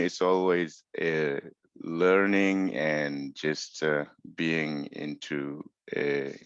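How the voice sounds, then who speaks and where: A man's voice: a bass voice, no room sound. A man from West Africa speaking earnestly as a panelist on a video call, searching for his words. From West Africa, Mauritania. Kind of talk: online panel discussion. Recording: muffled video call audio.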